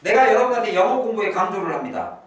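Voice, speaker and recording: A middle-aged man lectures on exam prep in an academy classroom, his voice sitting a little high and swinging widely in pitch. He punches key points, then sinks to quiet murmured asides. The sound carries a heavy room echo, picked up from some distance with a faint hiss behind it. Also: fast. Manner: lively, emphatic, drops to a low murmur for asides. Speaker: English teacher.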